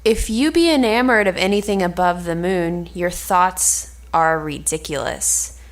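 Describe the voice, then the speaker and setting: Woman reading as slow-paced, scolding sensible friend. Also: lowish voice. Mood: reproving. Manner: reproving, formal reading of archaic lines. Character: sensible, scolding male friend of a lovesick nobleman.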